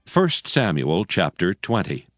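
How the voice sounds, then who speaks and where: A man narrating a dramatized scripture reading as a solemn, measured storyteller. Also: low voice, sing-song, a bit bright, dry recording, studio quality. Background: music bed.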